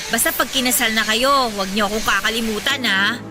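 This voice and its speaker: A woman's voice: fairly high pitch, fairly quick, close to an everyday voice. A woman acting a cheerful, supportive, playfully teasing young best friend in a radio drama. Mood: reassuring. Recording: loud music over the voice. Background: loud music.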